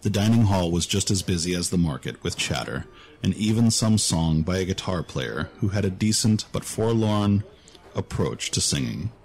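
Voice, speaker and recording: A man's voice: low-pitched, expressive, normal speed, gravelly, a little mellow. A man narrating a scripted fantasy story as an audiobook narrator.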